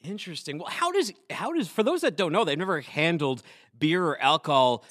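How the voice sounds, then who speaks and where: A man from Massachusetts, hosting a podcast in an animated, jokey, casual way. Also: fairly high pitch, no room sound, studio quality. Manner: animated and jokey, fast filler-laden talk. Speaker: podcast host. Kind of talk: casual chat.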